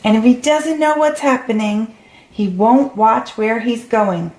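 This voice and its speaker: A woman's voice: fairly high pitch, a little slow, darkish tone. A woman reading a storybook aloud to young children. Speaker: storybook reader. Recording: roomy, echoey recording.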